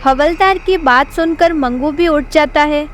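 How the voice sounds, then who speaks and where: A woman's voice: sits high, measured pace, slight background noise. A woman narrating a scripted horror story. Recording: background music.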